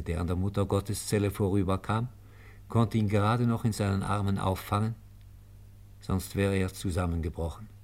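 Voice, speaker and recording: A man narrating a scripted radio play in a solemn, measured storytelling manner. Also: sits low, creaky, a little mellow.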